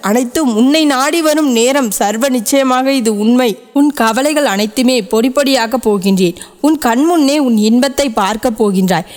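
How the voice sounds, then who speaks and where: A woman's voice: high voice, natural voice. A woman voicing a tender divine mother goddess. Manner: tender, reassuring, solemn, calls the listener dear child. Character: loving divine mother goddess, ageless, protective, comforting. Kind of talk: devotional message to a devotee. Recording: long echoing reverb.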